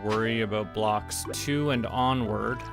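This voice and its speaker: A man from Canada doing casual gameplay commentary, thinking aloud to himself in short, unhurried remarks. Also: lowish voice, creaky. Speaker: livestreamer playing a farming game. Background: game music.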